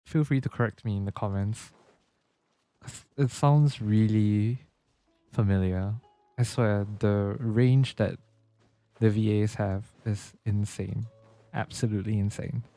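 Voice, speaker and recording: A man's voice: lowish voice, steady tone, slow pace, dark tone. A man doing casual game playthrough commentary as a streamer, musing aloud and reacting as he plays. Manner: musing, reactive, repeats and echoes game lines. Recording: faint game music behind.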